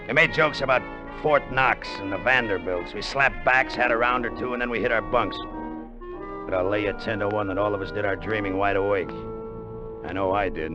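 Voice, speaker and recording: An actor playing a brash, pushy gambler turned treasure hunter in slangy, hard-boiled 1950s radio drama style. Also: male voice, on the low side, fairly quick, close to an everyday voice. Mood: wistful, excited. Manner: slangy, insistent, tough-guy talk. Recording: dull vintage radio recording with loud music.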